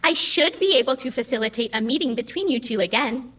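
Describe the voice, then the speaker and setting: A woman's voice: a little high, animated, fast talker, a bit bright. A woman playing a perky, literal-minded, slightly testy computer assistant in an audio drama, chirpy, officious and pedantically precise. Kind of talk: scripted audio comedy-drama acting.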